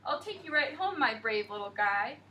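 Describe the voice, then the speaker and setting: A woman voicing a kind woman zookeeper in a storybook, gently coaxing. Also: a little high, big pitch swings, moderate pace, roomy sound, recorded from a distance, slight background noise.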